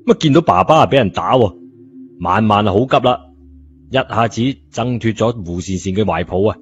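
A man's voice: lowish voice, animated, moderate pace. A man narrating a story in a colloquial scripted style, in a dull recording. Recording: dull lo-fi audio, music behind.

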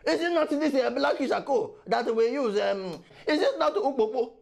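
A Nigerian-accented man playing an envious, aggrieved village witch doctor who exclaims, wails and repeats himself in a creaky voice. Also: very high-pitched, animated, normal speed, heavily acted. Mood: astonished.

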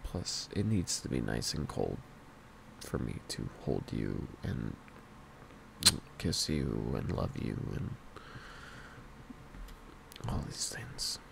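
A man playing a worried, devoted boyfriend in an intimate ASMR roleplay, speaking in a halting, tender hush that often drops toward a whisper, with a gravelly edge. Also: extremely low pitch, exaggerated voice. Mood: soothing, loving. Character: worried, devoted boyfriend comforting his partner. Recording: steady fan noise behind the voice. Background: whirring fan.

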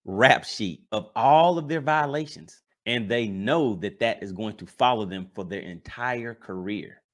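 A man's voice: mid-range voice, a little slow, fairly bright tone. An American man in his forties giving theatrical reaction commentary as host, full of rhetorical questions, close on a microphone. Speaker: host of a fishing reaction vlog. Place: small home studio. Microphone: boom-arm microphone up close.

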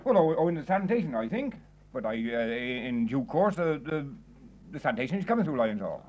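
Hesitant, stumbling publican on dull film sound. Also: male voice, mid-range voice, big pitch swings, brisk, darkish tone, slight background noise. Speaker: pub landlord. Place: inside a village pub bar.

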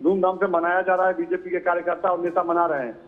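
A man's voice: sits high, flat delivery, fast talker. A male bureau chief giving a live field report from an outdoor event, talking in loose sentences full of fillers and repeated phrases. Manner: filler-heavy, repeats "jo hai" and "dekhiye". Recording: tinny phone-line audio.

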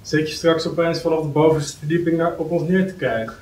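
A man's voice: fairly high pitch, steady tone, fairly quick, a little mellow, close to an everyday voice. A man playing a jovial, teasing office colleague of fifty with chummy, mocking, colloquial banter at a script table read. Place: meeting room, indoors. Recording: roomy, distant mic, faint noise.

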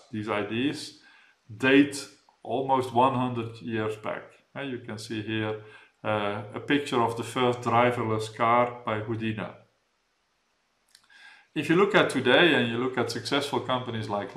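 A man in his fifties delivers an academic slide presentation at a medium pitch. He explains hesitantly, repeating words as he goes. The room adds a clear echo to his voice. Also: a bit bright. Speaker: keynote speaker, professor. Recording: echoey room sound.

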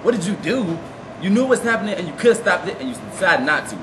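A man's voice: sits high, expressive, fast. A man in his twenties playing a stern, by-the-book navy watch stander, firmly explaining in amateur film acting. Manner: firm, explanatory. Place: indoor lobby front desk. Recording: very noisy room camera audio.

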